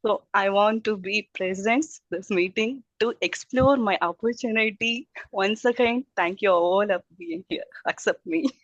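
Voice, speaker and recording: A middle-aged woman with an Indian accent introduces herself informally, rambling and effusive in halting English. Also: mid-range voice, no echo. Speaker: childcare teacher introducing herself. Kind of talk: informal self-introduction at a group meeting. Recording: dull, muffled audio.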